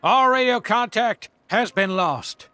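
A man voicing a gruff, dutiful toy army sergeant in a video game, giving clipped, formal military reports with urgency. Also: sits high, animated, slow, a crowd in the background. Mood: grave reporting.

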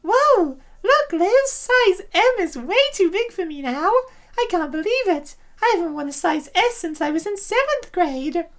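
A woman's voice: very high-pitched, big pitch swings, unhurried, exaggerated voice. A woman voicing exclamatory young women in a comic dub, with exaggerated delivery. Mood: excited. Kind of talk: comic dub character voices.

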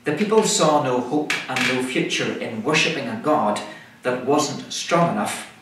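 A man's voice: mid-range voice, expressive. Echoey, middle-aged male preacher. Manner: measured, deliberate delivery in short phrases. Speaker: minister preaching. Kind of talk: scripted sermon. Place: indoors, small room, seated at a table. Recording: echoey room, far microphone, faint noise.

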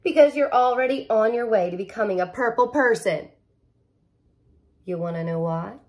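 A woman in her thirties reading a picture book aloud like a teacher, expressive and playful, with casual asides and emphasis on key words. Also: a little high, moderate pace. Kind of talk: animated children's read-aloud with casual asides.

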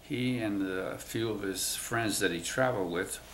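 Very deep-voiced man in his nineties. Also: a bit bright, echoey room, a little hiss or hum, low-quality recording. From Cuba. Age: 90s. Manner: slow, halting recollection with many pauses. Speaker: interviewee, WWII navy veteran. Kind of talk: oral history interview. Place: museum room, indoors.

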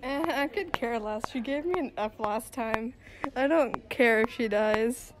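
Girl as slow, blunt, high-voiced teen. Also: natural voice. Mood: nonchalant. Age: young teenager. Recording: noisy amateur student recording.

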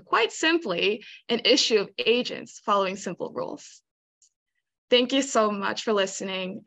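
A young woman giving a measured research presentation on a video call. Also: medium pitch, bright, forceful tone. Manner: measured, explanatory. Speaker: student presenter. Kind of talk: conference presentation.

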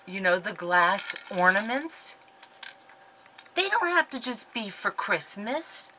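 A woman's voice: mid-range voice, sing-song, takes it slowly, pushed and bright, slight background noise. A woman in her fifties chatting in a rambling, gushing way while showing craft supplies from her craft room, recorded on a desk webcam. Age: 50s. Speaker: scrapbooking vlogger. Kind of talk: casual chatty haul video. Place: craft room at home, indoors.

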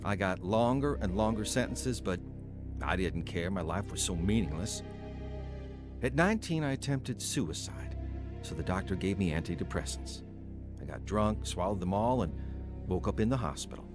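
A man's voice: deep voice, animated, normal speed, music in the background. An actor playing a remorseful man, earnestly narrating his troubled, drug-addicted youth in a radio drama. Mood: troubled, regretful. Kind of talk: scripted first-person radio drama narration.

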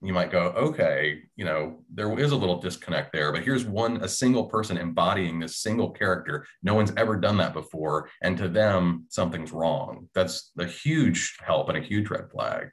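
A man's voice: sits low, normal speed, few pauses. A man talking casually and thoughtfully as a panelist on a video call. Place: small indoor room at home.

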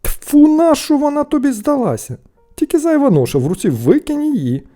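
A man's voice: a little high, lively intonation, fairly quick, gravelly, warm tone, soft background music, pitched above the performer's own voice. A man voicing a scolding, exasperated peasant elder brother in a storybook tale, scornful and nagging.

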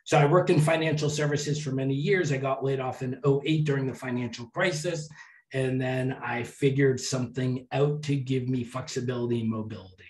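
A man's voice: mid-range voice, brisk. A man in his fifties from the United States, talking casually about travel on a video call from a small room at home. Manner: relaxed, matter-of-fact explaining with lists. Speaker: guest, travel podcaster. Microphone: webcam on a video call. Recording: roomy, distant webcam audio.

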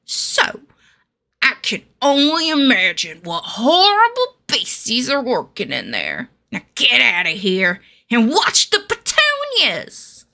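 Cranky old man, acted by a woman, bright forceful voice, grumbling and scolding, fantasy game. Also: a little high, wildly swooping pitch, slow pace, gravelly, heavily acted. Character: cranky old man guarding his fields and petunias. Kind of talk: character demo for fantasy tabletop game.

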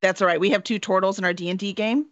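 A woman's voice: mid-range voice, fairly even intonation, quick pace, dry recording, lo-fi sound. A middle-aged woman from the southern United States chatting casually as an interview host. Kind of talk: casual chat. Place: indoor home room with shelves. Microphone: microphone on boom arm, video call.

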